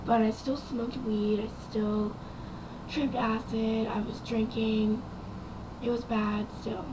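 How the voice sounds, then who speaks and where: A young woman with a raspy, quiet voice, telling her personal story to camera in a rambling way with many ums and likes. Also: fairly high pitch, flat delivery, slow, background noise. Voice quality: raspy and quiet from vocal cord damage. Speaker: vlogger.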